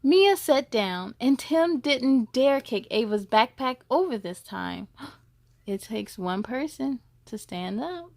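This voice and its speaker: A woman's voice: fairly high pitch, animated, slow, creaky, darkish tone, no room sound. A woman hosting a children's story time, reading aloud expressively and speaking to young listeners in an encouraging way.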